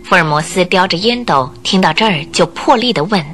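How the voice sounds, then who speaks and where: A woman narrating a scripted radio drama in a deliberate, theatrical storytelling manner. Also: on the low side, lively intonation, fairly bright tone, faint music behind.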